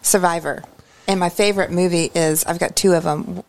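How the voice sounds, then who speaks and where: A woman's voice: on the low side, fairly even intonation, moderate pace, vocal fry. A middle-aged woman from Oklahoma, giving brief, plain answers in a short school interview. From Oklahoma, USA.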